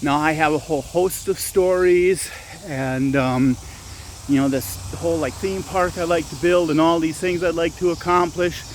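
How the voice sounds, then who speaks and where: A man's voice: fairly high pitch, steady tone, moderate pace, slight background noise. A man hosting his own channel, talking casually while walking outdoors at dusk, rambling with frequent restarts and self-corrections. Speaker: channel host and storyteller. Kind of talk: casual walking vlog talk.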